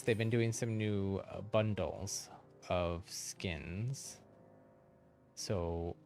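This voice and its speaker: A man's voice: on the low side, vocal fry. A man chatting casually as he streams a video game, rambling and thinking aloud. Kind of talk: casual livestream chat while playing.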